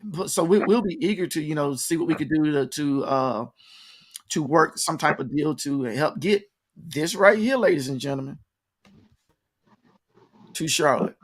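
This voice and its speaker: A man's voice: on the high side, animated, normal speed, pauses often. A man hosting a conversational interview, informally addressing his audience like an announcer. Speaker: interview host and promoter. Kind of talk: conversational radio-style interview.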